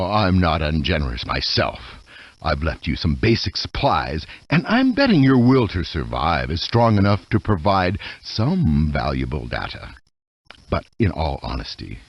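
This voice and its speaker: An actor playing a menacing, vengeful military general in a video game, with a deep raspy voice and theatrical, gloating delivery. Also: male voice, highs cut off, heavily acted. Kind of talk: video game villain voice acting.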